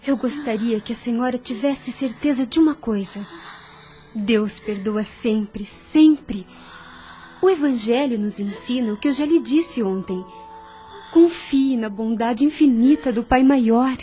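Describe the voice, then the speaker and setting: Audio drama part: actress as a caring, devout young woman, fairly high, soft-toned and consoling, music underneath. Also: measured pace, natural voice. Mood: earnest, consoling. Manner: gentle, earnest, consoling.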